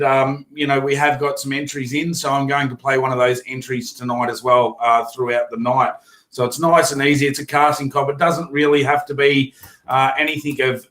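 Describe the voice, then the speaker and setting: A man with an Australian accent hosting a casual fishing show, his voice hoarse, talking in a rambling, chatty way with many fillers and restarts. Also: fairly high pitch, fairly bright tone. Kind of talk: casual live stream chat.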